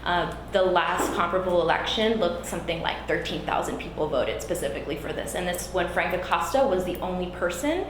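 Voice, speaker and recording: A woman running for a school board seat, whose first language is Spanish, answering questions earnestly with frequent pauses and fillers. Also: on the low side, animated. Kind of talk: candidate interview. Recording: echoey room, background noise.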